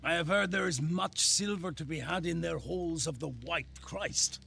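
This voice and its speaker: Gruff game Viking elder, middle-aged and proud, creaky yet forceful voice. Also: male voice, on the high side, moderate pace, full character voice. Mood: steadying. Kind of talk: cinematic video game acting.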